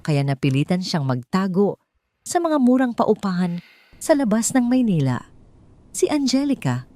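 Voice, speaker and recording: A Filipino woman narrates a scripted drama story in audiobook style. Her voice sits low for a woman, and she reads the romance as a storyteller. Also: warm tone.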